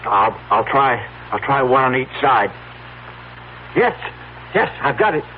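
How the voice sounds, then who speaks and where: An actor playing an agitated, urgent husband who stammers, in an old-time radio drama. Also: male voice, medium pitch, very animated, a little slow. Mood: tense, excited. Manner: urgent, stammering, swinging from pleading to threats. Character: desperate, agitated husband pleading for his wife's cure. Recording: tinny, humming, noisy radio recording.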